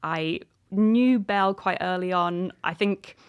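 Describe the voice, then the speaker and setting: A woman's voice: lowish voice, takes it slowly, clean tone. A woman in her thirties, an author chatting casually and animatedly in an interview, in an informal way. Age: 30s.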